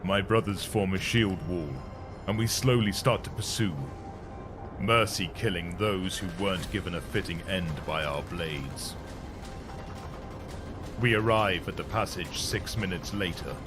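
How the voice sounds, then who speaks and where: A man's voice: low-pitched, measured pace, music in the background. A man giving a scripted, dramatic first-person narration as a grim, commanding warrior recounting a battle. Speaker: narrator of an audio story.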